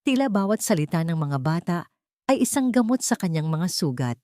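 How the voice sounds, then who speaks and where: A woman narrating a first-person drama story in a calm, steady, earnest way. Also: on the low side, a little fast, no room sound, studio quality.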